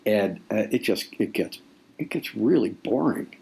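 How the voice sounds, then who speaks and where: A man speaking as an author guest in a conversational interview, explaining deliberately and repeating phrases for weight. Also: on the low side, expressive, vocal fry, darkish tone. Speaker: nonfiction author, podcast guest.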